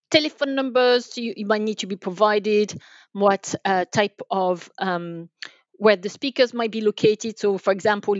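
A woman with a mid-pitched voice answers as a podcast guest, explaining things step by step. She restarts and repeats words as she works through her answers. The sound is dry and somewhat dull. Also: dry recording. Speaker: podcast guest, enterprise sales manager. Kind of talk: interview answers, explanatory talk.

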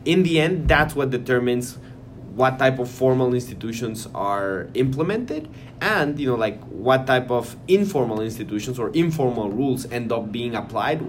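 A man's voice: medium pitch, animated, moderate pace. Hesitant man in academic chat. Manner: hesitant, repeats words, many fillers. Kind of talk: casual academic conversation. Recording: noisy background hiss.

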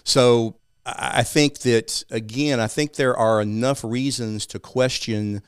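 A man's voice: mid-range voice, steady tone, slow pace, clear, resonant tone, no echo, clean studio sound. A man from East Texas with an East Texas accent, a guest talking casually in an interview and often checking in with "right?".

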